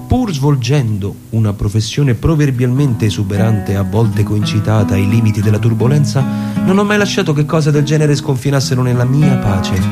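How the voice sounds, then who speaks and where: A man as a measured elderly lawyer over guitar. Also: mid-range voice, natural voice. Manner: measured, deliberate, pausing, formal. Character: elderly, prudent, unambitious lawyer recounting his memories. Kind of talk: literary dramatic monologue reading over music. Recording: radio reading with loud guitar music. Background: plucked guitar music.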